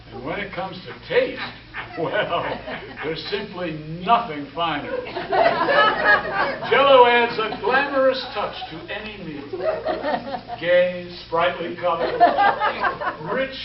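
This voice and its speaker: Man as high-voiced, unhurried old-time radio announcer. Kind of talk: 1940s radio announcing, scripted sponsor pitch. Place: live stage show before an audience. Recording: echoey, muffled live stage from far off.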